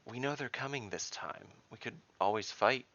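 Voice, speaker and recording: A man's voice: mid-range voice, normal speed, pushed and bright, highs cut off, voice pushed up. A man voicing a resolute, battle-ready female adventurer in a fantasy audio storybook, firm and practical. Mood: defiant.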